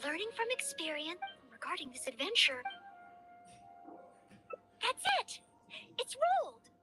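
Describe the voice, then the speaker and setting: A woman's voice: very high-pitched, very animated, unhurried, sharp tone, faint music behind, exaggerated voice. A woman voicing an earnest, eager young girl adventurer in a video game, polite and determined. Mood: thoughtful.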